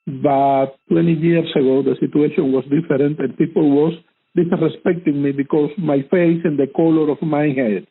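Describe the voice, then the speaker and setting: A man's voice: fairly high pitch, steady tone, moderate pace, breathy edge. A middle-aged man talking sincerely and casually, with an emphatic way of repeating himself. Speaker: cybersecurity conference speaker being interviewed. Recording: tinny phone-like line.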